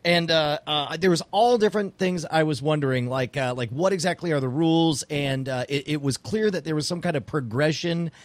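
A man's voice: on the high side, no echo. Eager, curious man on a dry mic. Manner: eager, quick questions with many restarts. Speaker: podcast co-host. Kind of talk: casual chat.

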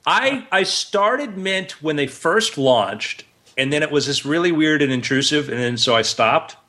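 A man's voice: a little high, lively intonation, measured pace, sharp tone. A man in a casual podcast chat, blunt and dryly teasing as a co-host.

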